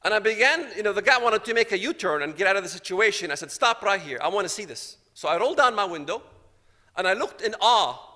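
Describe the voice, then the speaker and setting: A man's voice: high voice, lively intonation, fast talker, sharp tone, in a large hall. A man from Palestine giving a personal testimony, telling his story dramatically and acting out the dialogue. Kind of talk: storytelling talk to an audience.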